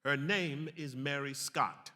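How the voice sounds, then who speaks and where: A man's voice: on the high side, slow, bright, forceful tone. A man giving a formal, emphatic dramatic reading of a historic account. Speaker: actor reading aloud. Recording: long hall reverb.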